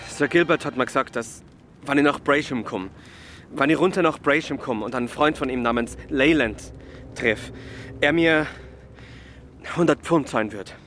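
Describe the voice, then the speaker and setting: An actor playing an anxious, evasive suspect in a German radio crime drama, halting and repeating himself as he protests his innocence. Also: mid-range voice, a bit bright, a little hiss or hum, close to an everyday voice. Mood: defensive.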